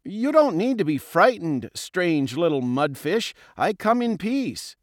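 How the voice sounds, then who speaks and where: A man's voice: high voice, very animated, takes it slowly, no room sound, studio quality, exaggerated voice. A man voicing a plucky little fish in an audiobook, polite and earnest with a cheeky edge. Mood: earnest, friendly. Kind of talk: audiobook character voice.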